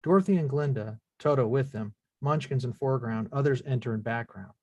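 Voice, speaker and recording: Male narrator for script action lines, mid-pitched, plain and factual, very dry recording. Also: a little fast, a little mellow, no echo. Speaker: stage-directions reader. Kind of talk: scripted narration of screenplay action at a live table read.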